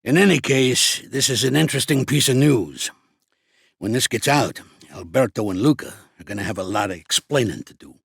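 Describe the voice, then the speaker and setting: An actor voicing a shrewd, gruff old American mobster for a video game, streetwise and blunt, with a gravelly, husky voice. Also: male voice, medium pitch, lively intonation, moderate pace, fairly bright tone, no room sound, studio quality, exaggerated voice. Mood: businesslike. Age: older. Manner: streetwise, commanding, blunt, slangy. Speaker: mobster mentor and boxing coach. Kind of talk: video game character acting.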